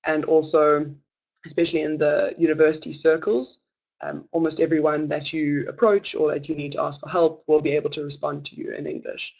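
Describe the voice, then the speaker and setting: A young adult gives a webinar presentation as a student presenter, talking in a reassuring way with many hesitant filler pauses. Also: mid-range voice, gravelly, a little mellow, dull audio.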